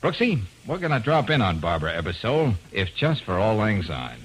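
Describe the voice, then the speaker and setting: A breezy private eye from old-time radio. His voice is low and swings freely, with a wisecracking manner, on a vintage recording. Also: sing-song, brisk, very noisy. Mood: playful, wistful. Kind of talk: 1940s radio acting.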